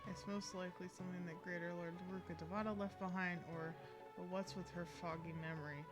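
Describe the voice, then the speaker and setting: Female streamer, lowish voice, casual gameplay commentary over clear game music. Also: steady tone, fast.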